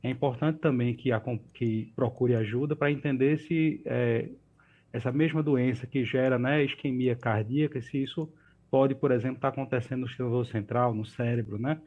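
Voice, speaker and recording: A man, a doctor, calmly explaining health matters on a radio call-in show, often tagging his sentences with a questioning filler. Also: medium pitch, moderate pace, phone-quality sound. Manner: calm, explanatory, frequent "né". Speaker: doctor, geriatrician guest.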